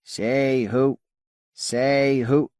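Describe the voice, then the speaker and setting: A man voicing an alien otter's speech as a translator box renders it, in halting, ungrammatical, word-by-word phrases. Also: medium pitch, little pitch movement, darkish tone, clear, resonant tone, dry recording, clean studio sound, voice pushed up. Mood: insistent, questioning.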